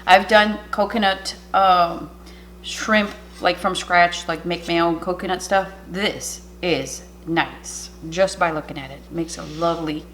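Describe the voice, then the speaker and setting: A woman's voice: on the low side, steady tone, measured pace, fairly bright tone, slight background noise. A woman whose first language is French, a cooking YouTuber chatting and rambling through an air-fryer demo in her home kitchen. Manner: chatty, rambling, self-interrupting.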